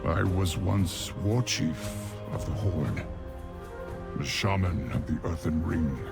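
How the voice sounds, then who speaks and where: A man voicing an orc shaman and former war chief, speaking in a deep, gravelly, earnest way over a music score. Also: takes it slowly, exaggerated voice. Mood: reflective. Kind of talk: video game cutscene acting. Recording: game score behind.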